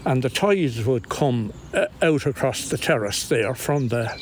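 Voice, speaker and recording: An older man from County Kilkenny with an Irish accent, telling local memories in a halting, unscripted way outdoors. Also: medium pitch, animated. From Inistioge, County Kilkenny, Ireland. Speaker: local interviewee telling folklore. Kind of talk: unscripted reminiscing. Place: outdoors in estate grounds. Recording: outdoor location recording, clear background noise.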